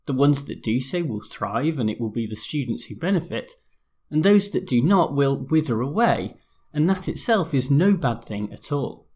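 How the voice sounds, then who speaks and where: A man, slightly hoarse, hosting a law podcast in a measured, explanatory scripted style. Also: mid-range voice, very animated, a little mellow. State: a bit hoarse, losing his voice. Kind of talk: scripted legal commentary podcast.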